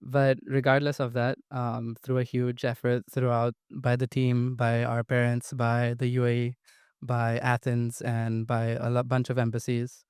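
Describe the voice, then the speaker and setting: Eager young man, very flat voice. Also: mid-range voice, a little slow, clear, resonant tone, no room sound, clean studio sound. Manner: eager, repeats "amazing". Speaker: student panelist. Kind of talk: answering panel questions.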